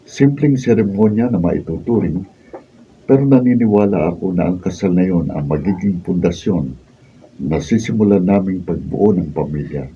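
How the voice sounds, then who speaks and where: An actor playing an earnest, steadfast young husband in a Filipino radio drama, speaking with measured emphasis. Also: male voice, sits low, recorded from a distance, highs cut off, natural voice. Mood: solemn, reflective. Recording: off-mic, faint background noise.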